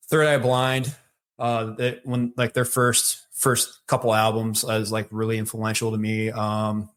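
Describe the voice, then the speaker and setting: A man's voice: lowish voice, fairly bright tone, smooth. A middle-aged man from Michigan with a Michigan accent, a sales leader talking casually as an interview guest, rambling with many fillers and restarts.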